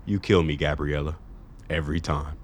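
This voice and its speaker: A man's voice: rumbling low, fairly even intonation, normal speed, slight background noise. A man playing a big charming old flame. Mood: wistful. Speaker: actor. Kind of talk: radio play acting.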